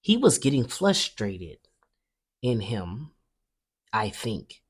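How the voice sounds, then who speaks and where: Very low-pitched, expressive woman storyteller. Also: slow, slightly rough. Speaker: storybook reader. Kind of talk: expressive children's read-aloud narration.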